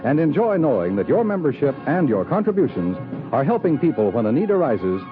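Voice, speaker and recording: A man's voice: mid-range voice, very animated, fairly quick. An American man making scripted announcements in the formal style of 1950s network radio. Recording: tinny vintage radio broadcast with music. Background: music.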